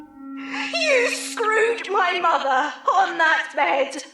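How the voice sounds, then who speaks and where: Very shrill, distraught accusing young woman. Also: big pitch swings, extremely slow, heavily acted. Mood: anguished fury. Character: hurt, furious, accusing young woman lover. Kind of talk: audio drama acting. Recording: echoey, music behind the voice.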